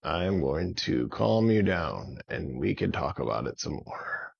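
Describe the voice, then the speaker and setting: A caring storybook friend, played by a man, with a gravelly, husky voice. He is earnest and reassuring, gently repeating himself, on a slightly muffled recording. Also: sits low, big pitch swings, measured pace, heavily acted. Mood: soothing. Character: calm, caring male friend soothing a dragon.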